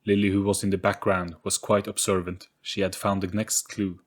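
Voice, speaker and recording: Low-pitched man narrating an audio story. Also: little pitch movement, moderate pace.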